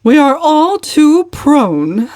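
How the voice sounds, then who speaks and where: A woman acts out a pious older matron from a storybook. Her voice is pitched high and she draws out each word with sanctimonious weight. The sound is dry and close. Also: sing-song, very slow, darkish tone, no echo, heavily acted. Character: pious, self-righteous older matron preaching humility. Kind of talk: dramatic audiobook character voice.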